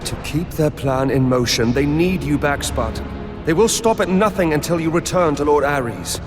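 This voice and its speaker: A man voicing a grave, earnest demigod oath keeper in a video game, speaking in a solemn, measured way. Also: on the high side, natural voice. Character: grave, sorrowful male oath keeper, rebellious demigod son. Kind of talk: cinematic video game acting. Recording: loud orchestral music behind. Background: loud game score.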